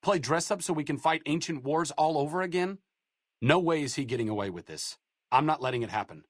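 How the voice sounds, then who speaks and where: A man's voice: a little high, lively intonation, a little fast, dry recording. An actor playing a wry, sardonic male doctor in a video game, with an articulate, bookish way of talking and dry sarcastic wit. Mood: earnest. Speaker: companion character in a video game. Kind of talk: game voice acting. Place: studio booth.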